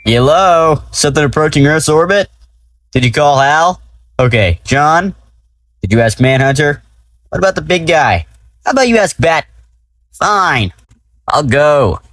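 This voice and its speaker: An actor playing a brash, sarcastic male superhero in an audio drama, grumbling in a colloquial, gruff manner. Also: fairly high pitch, expressive, a little slow, pauses often, fairly bright tone, no echo. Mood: grudging.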